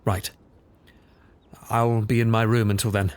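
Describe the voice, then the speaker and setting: A man's voice: lowish voice, level tone, a little slow, slight background noise, natural voice. Man acting brooding detective, cavernous echo. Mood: withdrawn. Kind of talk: audio drama acting. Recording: echoey hall, loud music underneath.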